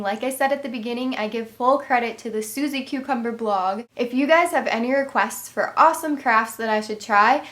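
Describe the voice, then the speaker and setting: A woman's voice: on the high side, a bit bright. Congested woman explaining a craft. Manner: chatty, friendly step-by-step instructions. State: congested, voice a bit worn. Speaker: craft channel host. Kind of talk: casual craft tutorial.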